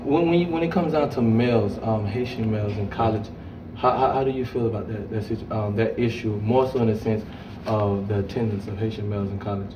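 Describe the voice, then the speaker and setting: A man's voice: on the low side, fairly quick, far from the mic, lo-fi sound, background noise. A young man hosting a campus TV interview, asking long, rambling questions full of "I mean" fillers. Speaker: host. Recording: echoey room, voice off-mic, music behind.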